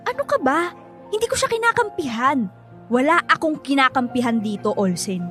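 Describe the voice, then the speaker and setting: With faint music behind, a young Filipina girlfriend with a high-pitched voice. She is earnest and placating, a level-headed radio drama sweetheart who is easily exasperated. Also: big pitch swings, moderate pace, a bit bright. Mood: defensive, reasoning.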